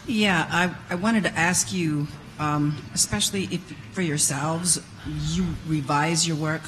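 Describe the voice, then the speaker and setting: A woman's voice: low-pitched, unhurried, a bit bright. Woman teacher asking from a noisy floor. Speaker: creative writing teacher, audience questioner. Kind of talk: informal question from the floor. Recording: live room with background noise.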